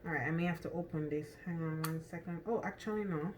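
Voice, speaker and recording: A woman's voice: low-pitched, fast, a little mellow, a little hiss or hum. A woman with a Jamaican accent chatting casually through a cooking demonstration in her home kitchen, thinking aloud with lots of ums and restarts. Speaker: cooking vlogger.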